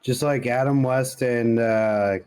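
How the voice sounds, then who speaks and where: Mid-pitched man in casual banter. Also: steady tone, slow, a little mellow, clean tone. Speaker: podcast co-host. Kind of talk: casual pop-culture chat.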